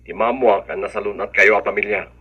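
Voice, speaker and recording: A man's voice: fairly low pitch, fairly even intonation, brisk, breathy edge, highs cut off. An actor playing a caring husband and father in an Ilocano radio drama, affectionate and earnest.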